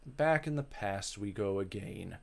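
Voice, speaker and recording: A man's voice: fairly low pitch, lively intonation, a little slow, creaky, a little mellow. A man reading a visual novel aloud as narrator, in a dramatic style with casual off-the-cuff asides.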